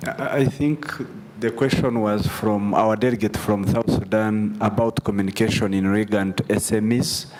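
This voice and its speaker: A man chairs a conference session and directs the speakers. His voice is fairly low, and it carries the long echo of a large hall. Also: steady tone, normal speed, hardly any pauses, darkish tone. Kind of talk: live event moderating.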